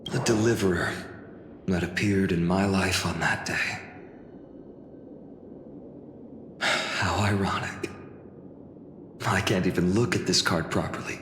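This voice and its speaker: A man's voice: deep voice, pushed and bright, a little hiss or hum, heavily acted. A man acting an earnest young guard hero in a video game, speaking theatrically. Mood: solemn, grieving. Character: young male holy city guard, earnest hero haunted by loss. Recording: echoey reverb, music behind.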